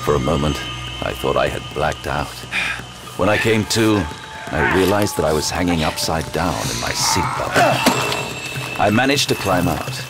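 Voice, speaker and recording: Low-voiced, haunted detective narrator. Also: male voice, sing-song, moderate pace, over loud music, full character voice. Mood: tense. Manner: grave, dramatic. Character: haunted, battle-hardened detective recounting horrors. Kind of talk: first-person horror drama narration.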